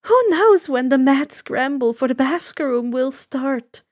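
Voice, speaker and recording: A woman voicing a gentle, caring, slightly tentative woman in a story reading. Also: sits high, expressive, takes it slowly. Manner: kindly, tentative. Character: gentle, caring, soft-hearted woman lawyer.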